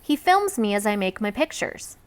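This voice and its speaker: A woman reading a children's book aloud in an expressive storytelling style. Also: medium pitch, moderate pace. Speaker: read-aloud reader.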